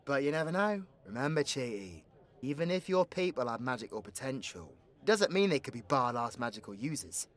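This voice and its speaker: A man's voice: mid-range voice, sing-song, brisk, close to an everyday voice. A British man playing a cocky, foul-mouthed, teasing supervillain in an audio roleplay, with crude slangy banter. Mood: sardonic, explaining. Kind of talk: ASMR audio roleplay acting.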